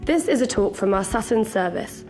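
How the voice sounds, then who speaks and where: A woman reading a short scripted podcast introduction in a crisp manner. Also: mid-range voice, animated, moderate pace. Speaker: podcast announcer. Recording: intro over music.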